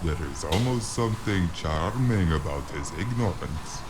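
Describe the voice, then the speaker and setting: A man playing an amused, condescending underworld broker in a noir audio drama, with an unhurried, patronizing delivery. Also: low voice, lively intonation. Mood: amused condescension. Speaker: voice actor. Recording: clearly noisy with ambient sound behind.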